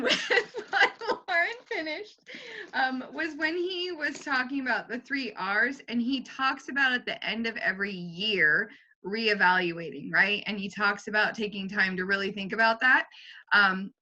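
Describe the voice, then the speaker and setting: A woman's voice: medium pitch, pushed and bright. A woman chatting and laughing on a group call, coming through muffled audio. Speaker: team member on a group call. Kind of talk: casual group call discussion.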